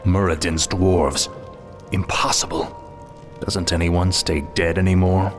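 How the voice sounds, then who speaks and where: Cold cocky death knight, low voice, sarcastic, music behind. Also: male voice, fairly even intonation, measured pace. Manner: impatient, sardonic. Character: arrogant male death knight, ruthless.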